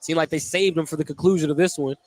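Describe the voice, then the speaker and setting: A man with a fairly high voice works as a basketball play-by-play announcer. He delivers live radio commentary, following the game as it happens. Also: fairly even intonation, quick pace, darkish tone. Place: courtside in a gymnasium.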